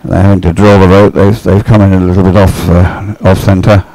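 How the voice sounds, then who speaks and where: A man giving conversational live sports commentary as an expert analyst, explaining haltingly with repeated words. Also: low-pitched, little pitch movement, warm tone, dry recording, poor audio.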